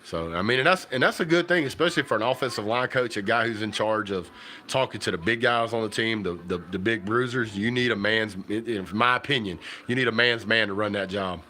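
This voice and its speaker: A man, chatting casually to viewers on a livestream while stuffy and hoarse from a cold. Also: fairly low pitch, fairly quick, sharp tone, a little hiss or hum. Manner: rambling, folksy, talks to viewers directly. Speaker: livestream host. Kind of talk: casual livestream chat about football. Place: home kitchen.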